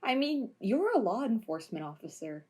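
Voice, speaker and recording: A woman's voice: fairly high pitch, sing-song, brisk, warm tone, husky. A woman playing a casual, teasing female crew member in an amateur audio drama. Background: music.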